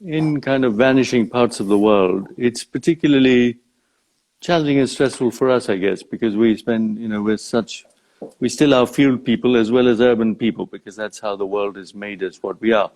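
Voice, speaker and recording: An older man from Uganda talks as a guest in a live video chat. He speaks in a reflective, measured way, often pausing and rephrasing. His pitch sits in an average male range. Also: darkish tone, rough recording. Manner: halting, thoughtful, measured phrasing. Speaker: interview guest, safari company founder.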